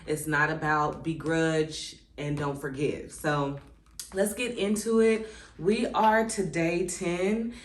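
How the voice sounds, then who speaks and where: Woman in her 30s chatting to camera, low voice, lots of ums and likes, roomy echo. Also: steady tone, takes it slowly. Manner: conversational, reflective, many "um" and "like" asides. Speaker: channel host. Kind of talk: casual reflective vlog, reading from a workbook. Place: indoors at home, seated. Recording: echoey room.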